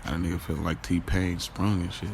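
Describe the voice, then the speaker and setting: Audio drama lead, played by a man: lovestruck, boastful, self-doubting guy. Very low, creaky voice, slangy first-person monologue, talks to listeners like friends. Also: level tone, normal speed, music in the background. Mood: smitten, reflective. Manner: casual, slangy, confiding, addresses listeners directly. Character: smitten, boastful, self-questioning man recounting his love life.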